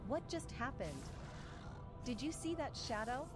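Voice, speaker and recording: A proud, headstrong warrior woman from a fantasy video game, voiced by an actress. The delivery is emphatic and lively in pitch, with a defiant edge. Loud music sits behind the voice. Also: a little slow. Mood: startled, urgent. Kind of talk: video game quest dialogue.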